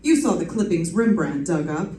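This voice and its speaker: A woman playing a wisecracking, brisk lady private detective in an old-time radio drama, cool under fire. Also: on the low side, animated, warm tone, close to an everyday voice. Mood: wry. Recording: roomy stage recording, distant mic.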